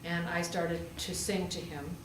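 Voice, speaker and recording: A woman's voice: on the low side, little pitch movement, unhurried. A woman pastor giving a storytelling sermon in a conversational way, with rhetorical questions and emphatic repetition. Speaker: pastor preaching, also a hospital chaplain. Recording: roomy, distant pickup with faint noise.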